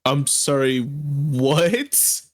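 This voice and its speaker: A man's voice: on the high side, drawn-out words, no echo, studio quality. A man livestreaming casual reaction commentary in informal slang, often laughing as he talks.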